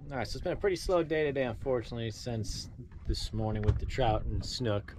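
A man's voice: mid-range voice, expressive, brisk. A young American man giving casual fishing commentary as the vlogger on a trip. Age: young adult. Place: outdoors on a small boat on open water. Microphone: action camera on board. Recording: boat and water noise outdoors.

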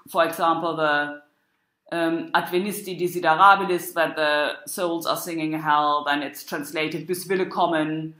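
A woman giving an academic lecture as a university lecturer, with a halting, hesitant delivery. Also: fairly low pitch, fairly bright tone. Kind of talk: academic lecture with examples chanted and recited. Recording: roomy, echoing sound.

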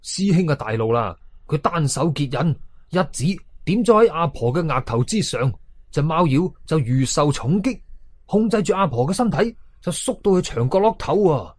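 A man's voice: on the high side, expressive, normal speed, dry recording. A man with a Hong Kong Cantonese accent telling a horror story in a suspenseful, colloquial, dramatic style. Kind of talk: dramatic scripted horror narration.

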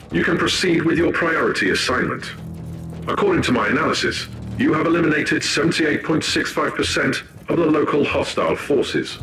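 Echoey, pedantic tech priest. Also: male voice, a little high. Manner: pedantic, precise, condescending. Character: smug, calculating male tech priest advisor.

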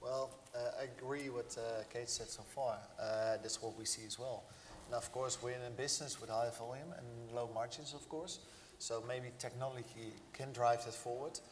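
A man's voice: medium pitch, fairly even intonation, moderate pace. A man from the Netherlands speaking on a conference panel, hesitant with many fillers. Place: large event hall stage. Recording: reverberant hall, faint noise.

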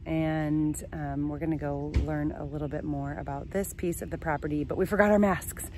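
A woman's voice: low voice, fairly even intonation, normal speed, background noise. Chatty woman vlogging outdoors. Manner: chatty, upbeat, many fillers. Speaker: vlog host. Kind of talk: casual travel vlog. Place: outdoors on a sunny farm lawn. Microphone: phone at arm's length.

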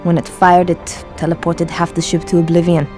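Determined female game soldier, acted by a woman: clipped, persuasive, even low delivery. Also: normal speed, faint music behind, close to an everyday voice. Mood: insistent. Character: sharp, determined female soldier with bold schemes. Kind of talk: video game cutscene acting.

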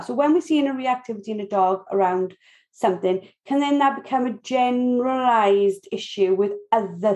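A Welsh woman hosting a podcast, asking relaxed, chatty questions with a few fillers. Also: on the high side. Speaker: podcast host. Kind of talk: casual podcast interview.

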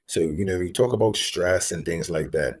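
A man in his thirties from Bermuda, a homeless advocate, talking in an earnest, measured way over a video call from home and repeating key phrases for emphasis. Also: deep voice. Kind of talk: reflective interview talk. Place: room at home. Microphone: video call, laptop camera.

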